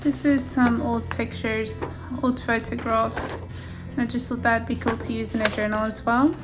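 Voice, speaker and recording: Woman crafter, Afrikaans first language, soft and fairly high voice, faint music underneath. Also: little pitch movement. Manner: gentle, softly enthusiastic, unhurried. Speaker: junk journal maker. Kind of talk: casual flip-through narration. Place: indoors at a craft table.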